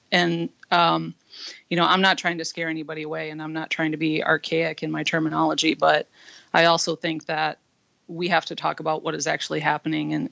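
Husky-voiced man, gravelly and flat. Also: fairly high pitch, no room sound. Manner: earnest, frank, with frequent fillers. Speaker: interview guest; comedian. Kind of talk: candid interview talk. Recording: dull lo-fi dry line.

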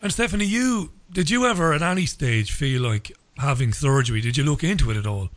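Hesitant Irish man, fairly high voice. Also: expressive, brisk. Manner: hesitant, many ums. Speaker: interviewer. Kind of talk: casual interview talk.

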